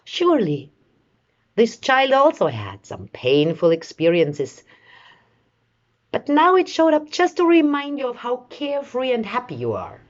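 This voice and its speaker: A woman leading a guided meditation in a soothing, measured way, with long pauses between short phrases. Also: a little high, wildly swooping pitch, lo-fi sound. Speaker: meditation guide, life coach.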